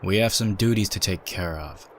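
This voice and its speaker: A stern, devout man , played by a male reader, speaks low and tersely. He is suspicious and stubborn, with a hard edge, in a dramatic reading over background ambience. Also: normal speed, fairly bright tone, a little hiss or hum. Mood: curt. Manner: terse, edgy, distrustful.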